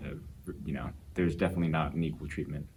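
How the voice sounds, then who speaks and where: A teenage boy with a very deep voice speaks haltingly, stopping often to gather his thoughts. A faint hum of ambience sits behind him. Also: steady tone, darkish tone. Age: teens. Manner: hesitant, with many fillers. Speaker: student. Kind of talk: opinion statement in a discussion.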